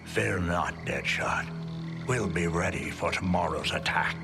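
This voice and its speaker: An actor playing a menacing supervillain who savors fear, speaking in an ominous, formal way in a video game. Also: male voice, low voice, a little slow, vocal fry, loud music behind the voice. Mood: cold command. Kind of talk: video game cutscene acting.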